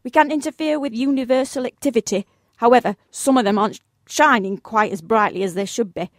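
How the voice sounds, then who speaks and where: Woman acting a high-voiced, unflappable British office boss. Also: fairly quick, no echo, studio quality, lightly acted. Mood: matter-of-fact. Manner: polite, breezy, formal and businesslike. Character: genteel, unflappable female office boss making absurd requests. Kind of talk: short comic radio play acting.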